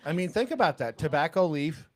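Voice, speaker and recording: A man's voice: fairly high pitch, lively intonation, fairly quick. A man co-hosting a casual chat show, dropping in short, wry interjections. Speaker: talk show co-host.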